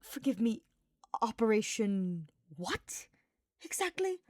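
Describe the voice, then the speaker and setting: Woman as a growling, formal male dark mage. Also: a little high, very animated, slow, no room sound, studio quality, pitched above the performer's own voice, exaggerated voice. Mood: irritated. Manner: growling, clipped through clenched teeth, formal. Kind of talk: audiobook character voice.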